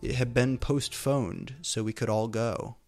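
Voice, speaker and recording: A man reads amateur fiction out loud as a comic performance. His voice sits at a middle pitch, and he leans into the text with exaggerated, theatrical delivery. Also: fairly even intonation, measured pace, vocal fry, over music. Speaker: fan fiction reader. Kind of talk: comedic dramatic reading.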